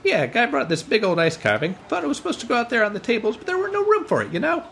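An actor playing a brusque, slangy working-class catering worker in a parody of old-time radio drama. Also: male voice, extremely high pitch, huge pitch swings, fast talker, creaky, fairly bright tone, a little hiss or hum, full character voice. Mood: offhand. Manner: blunt, slangy, folksy. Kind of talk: parody 1940s radio acting.

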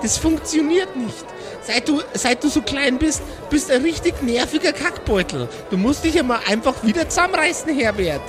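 A woman's voice: high voice, animated, moderate pace, hardly any pauses, music in the background, a crowd in the background. A woman voicing a horrified old lady who reproachfully scolds her little dog in an improvised audio play. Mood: horrified scolding.

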